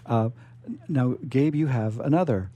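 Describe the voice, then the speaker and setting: A man hosting a live radio show in a casual, effusive and somewhat halting way. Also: mid-range voice, mellow, breathy edge. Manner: effusive, hesitant, repeats himself.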